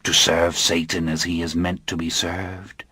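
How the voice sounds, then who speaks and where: A man voicing a cold, solemn male coven leader of a satanic sect, intoning slow archaic speech in an audiobook. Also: low-pitched, flat delivery, bright, forceful tone, husky, no room sound, voice pushed down, full character voice. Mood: menacing.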